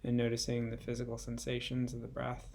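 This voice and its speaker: Man, guided meditation, creaky low-energy voice, relaxed tone, gentle, unhurried, spacious pauses. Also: slight background noise. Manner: gentle, unhurried, with long pauses between phrases. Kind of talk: guided body scan meditation.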